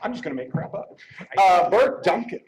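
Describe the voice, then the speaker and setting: A man's voice: high voice, big pitch swings, moderate pace. A wisecracking, irreverent man ad-libbing banter as he presents an award on stage. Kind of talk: joking ad-libbed live stage banter. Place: convention stage. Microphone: stage microphone. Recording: noisy live event microphone.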